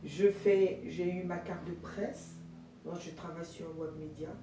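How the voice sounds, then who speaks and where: A woman in her forties from Ivory Coast, a guest telling her career story in an animated way, with many fillers and restarts. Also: on the low side, measured pace, reverberant, recorded from a distance, noisy background. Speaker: guest, jurist and teacher. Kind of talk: interview answers.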